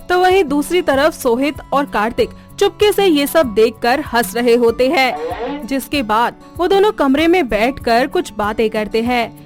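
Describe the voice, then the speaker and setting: High-pitched woman narrating a story. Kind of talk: scripted narration of animated moral story.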